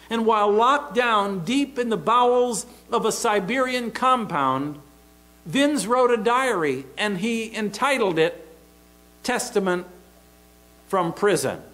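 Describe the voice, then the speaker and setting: A middle-aged American pastor preaching at a church pulpit, his voice high for a man. He takes his time, landing each phrase slowly and deliberately, with a faint electrical hum under the pulpit mic. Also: a bit bright, low-quality recording. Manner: emphatic, deliberate preaching with open hand gestures. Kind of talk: sermon. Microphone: pulpit microphone.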